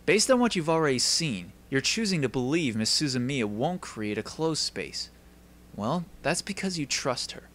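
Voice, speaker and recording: A man's voice: medium pitch, very animated, moderate pace, a bit bright. A man voicing a polite, composed teenage esper who explains things courteously in an anime dub style. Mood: calm, assured.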